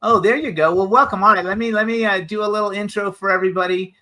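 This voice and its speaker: A man hosting a live celebrity interview show, talking in a chatty, rambling, excitable way. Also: high voice, fast, a little hiss or hum. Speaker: talk show host.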